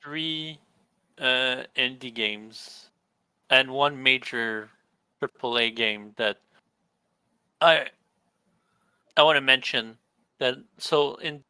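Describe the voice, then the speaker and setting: A man's voice: medium pitch, bright, forceful tone, clean tone, no echo, rough recording. A young man hosting a gaming podcast, describing games in a rambling, casual way with many restarts. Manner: rambling, restarts mid-sentence. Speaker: podcast host. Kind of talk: casual chat.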